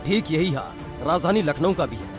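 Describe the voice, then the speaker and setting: Male TV news narrator, slightly high voice, dramatic read, music bed beneath. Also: expressive, moderate pace. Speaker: news anchor. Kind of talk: scripted news voice-over.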